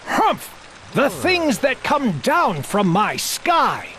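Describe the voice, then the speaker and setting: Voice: mid-range voice, huge pitch swings, drawn-out words, fairly bright tone, slight background noise, voice pushed up, exaggerated voice. A storyteller voicing an old, short-tempered male king who bellows, huffs and sputters. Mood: grumbling, shouting.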